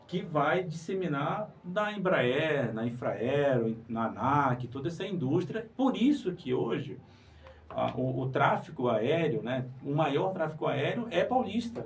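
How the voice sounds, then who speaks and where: A man's voice: fairly high pitch, darkish tone. Man explaining in a didactic manner. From Pará, Brazil. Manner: didactic, rhetorical questions, emphatic. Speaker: guest, writer and museologist. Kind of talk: explanatory interview talk. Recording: distant mic with faint noise.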